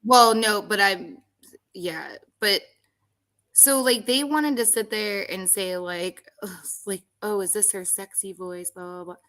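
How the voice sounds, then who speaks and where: A woman's voice: mid-range voice, measured pace, bright, forceful tone. A woman hosting a casual podcast, rambling with lots of fillers and restarts. She says others call her voice girly. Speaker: podcast host. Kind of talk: casual reality-show commentary.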